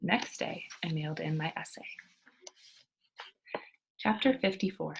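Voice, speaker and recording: A woman reading a storybook aloud as a teacher, expressive and emphatic, with dramatic hushed moments. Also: deep voice, a little slow, creaky, noisy background.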